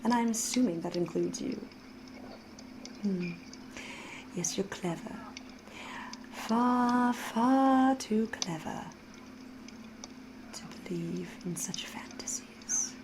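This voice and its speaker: A woman voicing a flirtatious, menacing vampire in an ASMR roleplay, refined and theatrical, with drawn-out phrases slipping into breathy whispers. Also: mid-range voice, noisy background, lightly acted. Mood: coyly amused. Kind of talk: ASMR roleplay, scripted character monologue.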